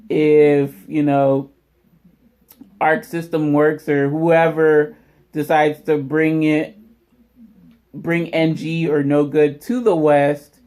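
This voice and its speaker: Casual vlog host, mid-range pitch, unhurried delivery, informal and conversational. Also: a little mellow. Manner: informal, addresses viewers as y'all. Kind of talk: casual unboxing vlog commentary.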